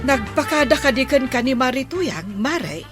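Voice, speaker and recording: A woman's voice: high voice, very animated, moderate pace, over music. A woman playing a sympathetic village friend in a comedy radio drama, with an Ilocano accent.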